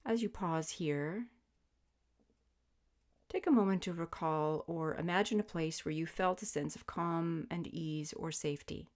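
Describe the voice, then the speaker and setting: Low-voiced woman guiding a meditation. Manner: soothing, gentle, measured invitations with pauses. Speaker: yoga nidra meditation teacher. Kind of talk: guided sleep meditation, spoken instruction.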